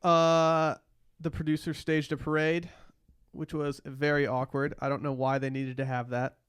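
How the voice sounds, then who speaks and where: A man's voice: on the high side, level tone, normal speed, fairly bright tone, clean tone. A thirty-year-old man co-hosting a podcast, chatting casually about a reality TV show. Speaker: podcast co-host. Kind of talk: casual chat recapping reality TV.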